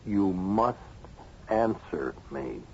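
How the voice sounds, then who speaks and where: An actor playing an urgent, manipulative doctor in an old-time radio drama, insistent and dramatic. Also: male voice, deep voice, big pitch swings, very slow, gravelly, a little mellow, exaggerated voice. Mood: commanding, hypnotic. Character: urgent, manipulative doctor, an agitated family friend. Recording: dull, hissy old radio recording.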